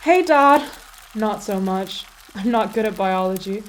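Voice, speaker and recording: A woman's voice: mid-range voice, expressive, unhurried, a little hiss or hum, natural voice. A woman playing a superhero's devoted teenage daughter in a radio play, with music under the voice. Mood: teasing, glum.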